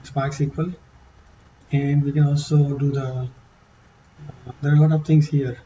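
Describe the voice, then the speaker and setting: Man with a dark, muffled voice. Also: a little high, flat delivery, slight background noise. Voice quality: non-native English accent. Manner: halting, many fillers and restarts. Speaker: tutorial presenter. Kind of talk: casual screen-share walkthrough.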